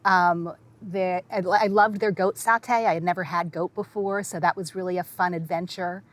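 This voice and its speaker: A woman's voice: lowish voice, fairly even intonation, normal speed. Enthusiastic middle-aged woman, chatty. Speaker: guest, publicist. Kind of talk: casual panel chat.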